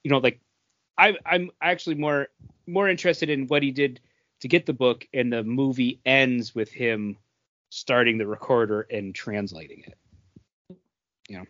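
A man's voice: medium pitch, moderate pace, pauses often, a bit bright, no echo, muffled recording. A man co-hosting a podcast in casual chat, rambling through his ideas. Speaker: podcast co-host.

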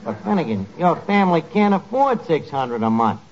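An actor playing a scheming, wisecracking tavern manager with a dry, mock-formal way of mangling grammar in 1940s radio comedy. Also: male voice, fairly high pitch, very animated, normal speed, a little mellow. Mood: mock sympathy. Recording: muffled, noisy 1940s radio recording.

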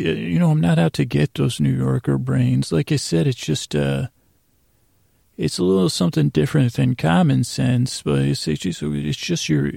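A man hosting a podcast with a rambling bedtime monologue, meandering, halting and circling back on his own sentences. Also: a little high, vocal fry, mellow, breathy edge, no room sound, clean studio sound. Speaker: podcast host.

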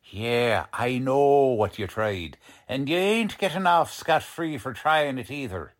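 A man playing a gruff, impatient, bullying 1940s police lieutenant in radio drama style, with slangy tough-cop talk. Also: mid-range voice, lively intonation, takes it slowly. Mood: stern, sarcastic.

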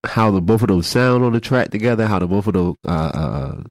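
A man with a very low, deep voice hosts a casual podcast chat while congested. He stumbles through restarts and fillers. The sound is dry and close. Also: expressive, no room sound. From Philadelphia. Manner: halting, many repeated words and fillers. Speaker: podcast host. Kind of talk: casual radio-style chat.

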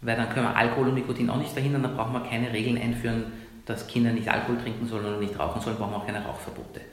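A man from Iran who is a doctor, arguing emphatically as an interview guest, often ending points with a quick tag question. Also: medium pitch, little pitch movement. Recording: very echoey room.

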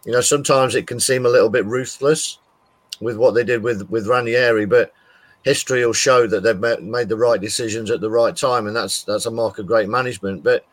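A man co-hosting a casual chat, giving earnest, measured opinions. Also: medium pitch, fairly even intonation, no echo. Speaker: co-host.

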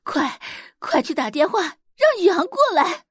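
A woman's voice: very high-pitched, very animated, normal speed, no echo, studio quality, voice pushed up. A woman voicing a protective, tender middle-aged mother with an emotional delivery in an audiobook drama. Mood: alarmed. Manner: soothing, emotional.

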